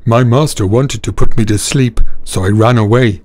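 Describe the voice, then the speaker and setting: A man's voice: mid-range voice, moderate pace, darkish tone, no room sound, natural voice. A man voicing a tired old dog who has run away from his master, in a storybook reading. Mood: weary. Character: old, weary male hunting dog.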